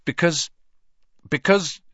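A man's voice: fairly high pitch, expressive, moderate pace, pushed and bright, slightly rough, dry recording, lo-fi sound, pitched above the performer's own voice, full character voice. A man voicing an earnest schoolboy who speaks defensively in a storybook reading. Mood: anxious protest. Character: earnest, defensive schoolboy protesting his innocence.

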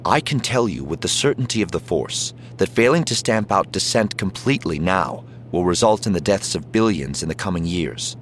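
A man plays a determined Jedi commander in an audiobook. His voice is low, calm and controlled, with an assured, persuasive push and faint noise behind it. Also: moderate pace, few pauses. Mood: calm resolve.

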